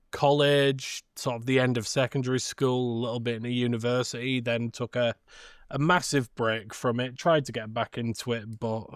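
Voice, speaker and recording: A man co-hosting a discussion in an easy, informal chat style with a clear voice. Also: medium pitch, dry recording, clean studio sound. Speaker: podcast co-host. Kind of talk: casual chat.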